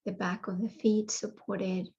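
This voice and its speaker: A woman guiding a yoga nidra meditation in a soothing way, leaving long pauses between phrases. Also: medium pitch, flat delivery, warm tone, breathy edge, highs cut off. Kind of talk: guided meditation.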